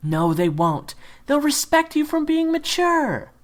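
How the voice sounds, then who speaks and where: A man's voice: extremely high pitch, very animated, unhurried, pitched above the performer's own voice, heavily acted. A man voicing a spunky, bossy teenage schoolgirl who scolds and insists. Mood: insistent. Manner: insistent, scolding, sharp-tongued.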